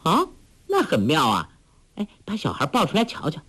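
A man's voice: a little high, wildly swooping pitch, moderate pace, bright, forceful tone, slightly rough, voice pushed up, heavily acted. A man voicing a clever, bold young woman in a martial arts story, teasing and then imperious in turn. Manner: coy and playful, then imperious.

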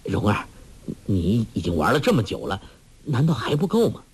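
A man's voice: fairly low pitch, huge pitch swings, normal speed, breathy edge, exaggerated voice. Man doing an earnest young swordsman. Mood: gentle. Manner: earnest and worried. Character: honest, slow-witted young swordsman.

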